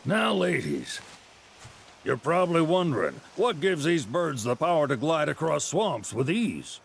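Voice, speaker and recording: Game role: chipper elderly ranch grandpa, Southern US drawl, creaky high-set voice, showy pitchman. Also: big pitch swings, unhurried, slight background noise, heavily acted. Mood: showy sales pitch. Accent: American Southern. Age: older. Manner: folksy, showy salesman patter. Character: folksy, chipper old male chocobo rancher and grandfather. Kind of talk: video game cutscene acting.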